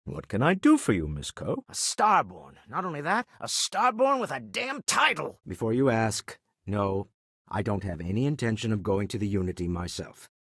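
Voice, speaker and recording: An older man playing a wealthy, pragmatic businessman in a video game, speaking in an articulate, wry, measured way. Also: lowish voice, big pitch swings, fairly bright tone, husky, dry recording, studio quality, full character voice. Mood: wry, indignant. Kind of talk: video game character dialogue.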